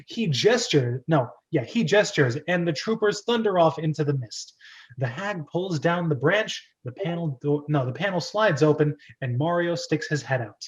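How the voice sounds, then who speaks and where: Male host for a casual table read: highish, lively voice, playful asides and self-corrections, lo-fi audio. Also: moderate pace. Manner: playful, self-interrupting, chatty. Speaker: host and narrator of script reading club. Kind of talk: casual amateur script reading with commentary.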